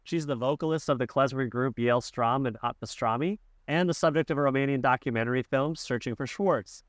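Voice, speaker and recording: Male co-host, mid pitch, steady scripted read, dry sound. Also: brisk, dry recording. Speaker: podcast co-host. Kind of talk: reading a guest introduction.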